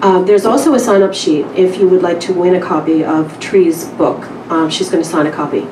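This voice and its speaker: A woman's voice: fairly low pitch, fairly quick. A woman speaking informally at a live literary event, with a candid, wry, conversational way of addressing the room. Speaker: publisher introducing and reading a story at a literary event. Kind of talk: informal live talk and story reading. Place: indoor live event room with small audience. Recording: roomy, distant mic with clear background noise.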